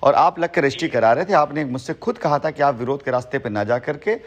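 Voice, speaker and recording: A man talks on a recorded phone call. His voice sits at a middle pitch. He is assertive and long-winded, often repeating himself to press his point. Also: animated, fast talker. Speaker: company head on a phone call with a former associate. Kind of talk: phone conversation in Hindi mixed with English words.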